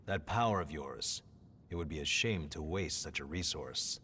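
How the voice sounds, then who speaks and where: An actor playing a menacing, smug male villain in a video game, with a taunting, theatrical and deliberate delivery. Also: rumbling low, bright, forceful tone, a little hiss or hum. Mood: sly, intrigued. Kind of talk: dramatic video game voice acting.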